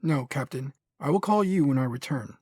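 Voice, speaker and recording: A man playing an obedient young son in military service in a sci-fi audio drama, with a husky voice. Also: mid-range voice, animated, normal speed, darkish tone, no room sound. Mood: dutiful.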